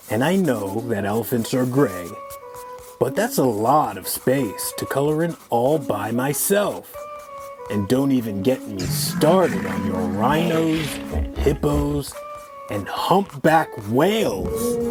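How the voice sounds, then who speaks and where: A woman's voice: rumbling low, exaggerated voice. A woman voicing an exhausted, exasperated gray crayon that complains wearily in a storybook read-aloud. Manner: weary, sighing complaint.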